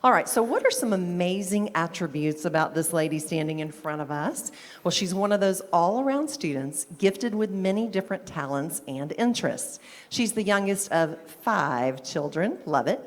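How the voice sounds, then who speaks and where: A woman presenting a student of the month, upbeat and chatty, cheering on each detail she reads out. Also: lowish voice. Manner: upbeat, chatty, warmly enthusiastic. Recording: reverberant meeting hall.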